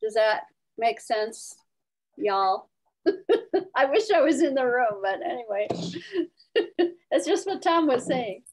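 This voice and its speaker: Unhurried, high-voiced woman teaching. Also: expressive, rough recording. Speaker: Zen teacher leading a discussion. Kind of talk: spontaneous dharma talk and discussion. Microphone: video call.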